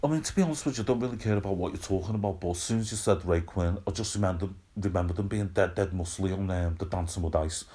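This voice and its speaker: A man's voice: sits low, fast, few pauses, mellow, close to an everyday voice. A man playing a mild, hesitant Mancunian podcast co-host, chatting casually. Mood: mild, chatty. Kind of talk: comic casual chat.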